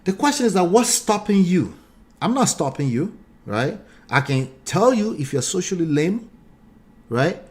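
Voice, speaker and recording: A forties-aged Nigerian man on a commentary show, reading remarks aloud and pushing back hard. His voice sits a little higher than most men's. He drives each point home by repeating it and firing off questions. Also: big pitch swings, measured pace, darkish tone. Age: 40s. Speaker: relationship commentary host.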